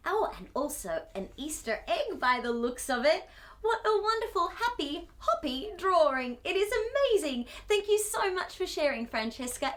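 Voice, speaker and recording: A woman's voice: very high voice, a bit bright. A woman hosting a children's storytime, gushing and exclamatory as she speaks directly to young children.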